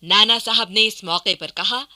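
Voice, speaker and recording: A woman's voice: mid-range voice, measured pace, pushed and bright. A woman narrating a historical radio play in an emphatic, formal storytelling manner from a script.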